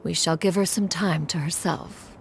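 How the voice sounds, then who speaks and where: A stately, gravelly crane goddess. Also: female voice, lowish voice, steady tone, normal speed, background noise. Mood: composed. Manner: formal, calls herself "one". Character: dignified ancient female crane deity.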